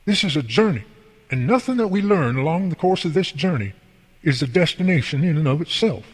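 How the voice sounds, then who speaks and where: Man acting as folksy devout boss, reverberant voice. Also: sits high. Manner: folksy, preachy, explaining at length. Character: folksy, devout male boss and craftsman, earnest mentor. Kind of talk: amateur radio drama dialogue. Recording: long hall reverb.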